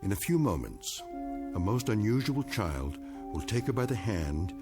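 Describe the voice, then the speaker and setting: A male actor as a grave, ominous storyteller, narrating an eerie radio drama in a measured, portentous way. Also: lowish voice, very animated. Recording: radio drama narration over prominent music.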